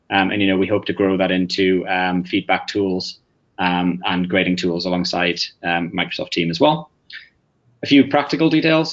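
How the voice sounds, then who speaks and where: A man from England with a Northeast English accent presenting a webinar, pausing often with fillers and restarts. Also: lowish voice. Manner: hesitant, many fillers and restarts. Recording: muffled lo-fi audio.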